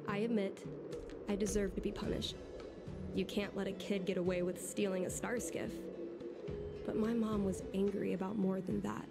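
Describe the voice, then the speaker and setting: A woman's voice: mid-range voice, flat delivery, normal speed, vocal fry, long pauses, natural voice. A woman voicing an earnest, rueful teenage girl who longs to fly, in a video game. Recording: game dialogue under loud music.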